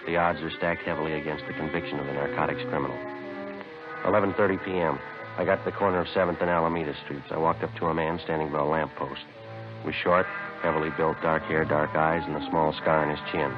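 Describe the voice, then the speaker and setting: Fast, low-voiced terse detective. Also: male voice. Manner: flat, clipped, matter-of-fact. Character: terse, cool police detective narrating and posing undercover. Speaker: lead actor and narrator. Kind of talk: 1950s radio crime drama acting, clipped report-like narration. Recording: dull vintage radio recording, music behind.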